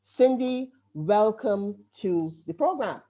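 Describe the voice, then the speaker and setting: A woman's voice: mid-range voice, animated, takes it slowly, warm tone, muffled recording. A woman with a Barbadian accent hosting an online poetry event, chatting informally and introducing each guest. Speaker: event host.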